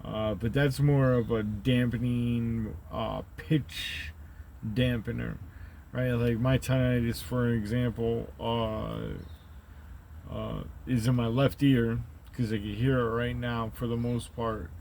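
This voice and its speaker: A man with a deep, rumbly voice who rambles through a profane, conspiratorial monologue and keeps tagging his points with "right?". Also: level tone, slow, gravelly, darkish tone, slight background noise. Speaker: channel host.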